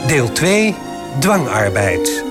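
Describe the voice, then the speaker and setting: A man's voice: mid-range voice, big pitch swings, drawn-out words. A man making a dramatic, scripted title announcement as the announcer of a radio serial. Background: prominent music.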